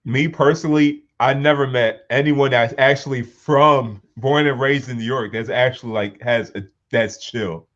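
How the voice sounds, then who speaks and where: A man's voice: medium pitch, fairly even intonation, normal speed, hardly any pauses, fairly bright tone, highs cut off. A man co-hosting a podcast, giving plain, matter-of-fact remarks in casual chat. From United States. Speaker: podcast co-host.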